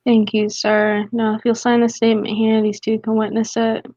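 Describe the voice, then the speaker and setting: A woman's voice: fairly high pitch, completely flat delivery, warm tone, no echo, lo-fi sound, lightly acted. A woman playing a formal, businesslike commissioner of oaths in a radio drama. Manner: slow, official.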